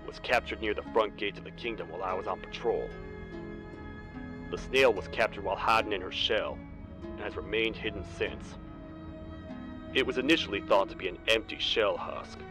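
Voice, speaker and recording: A man's voice: on the low side, moderate pace, pauses often, far from the mic, over music, natural voice. A man playing a massive, loyal toad sheriff in a video game, earnest and folksy like a frontier lawman. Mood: formal, stern. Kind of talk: video game character acting.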